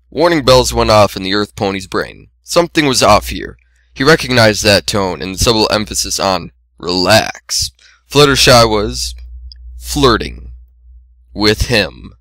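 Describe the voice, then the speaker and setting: Bright, low-ish male story narrator. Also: animated, measured pace, dry recording. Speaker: amateur fan fiction reader.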